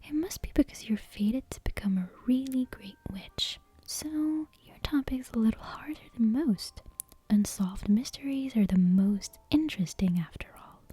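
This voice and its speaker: A woman's voice: fairly high pitch, animated, normal speed, warm tone, slightly rough, no echo. A woman voicing a breezy, brazen witch teacher from a storybook in an airy, blithely reassuring whisper.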